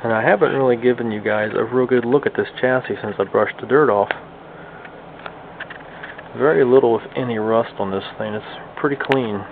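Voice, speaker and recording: A man with a creaky, gravelly voice talks viewers through hands-on work in a casual, folksy way. He sounds like a hobbyist thinking aloud as he goes. The audio is narrow and phone-like, with a faint layer of noise behind him. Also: medium pitch, normal speed. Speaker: hobbyist radio restorer and video host. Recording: lo-fi, phone-like band, slight background noise.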